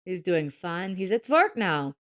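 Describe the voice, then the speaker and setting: A woman's voice: lowish voice, big pitch swings, unhurried, fairly bright tone. Woman reading as a terse, grudging middle-aged father. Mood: grudging, restrained. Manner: terse, unimpressed.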